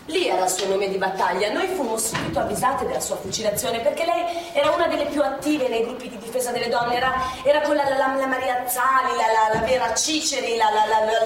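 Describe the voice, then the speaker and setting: A woman's voice: a little high, fairly quick, natural voice. An actress playing a proud, guarded former Resistance courier, telling her wartime memories emphatically and colloquially with a Milanese accent, in stage drama style. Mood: proud, earnest. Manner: emphatic, colloquial storytelling with repetitions and interjections. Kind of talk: theatrical stage monologue and dialogue. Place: theatre stage. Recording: echoey theatre stage, distant mic, faint noise.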